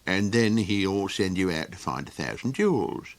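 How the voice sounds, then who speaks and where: A man's voice: sits low, expressive, no room sound, voice pushed down. A man voicing a fretful, kindly creature who rambles with ums and chuckles in a storybook reading. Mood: musing. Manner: halting, full of ums and chuckles.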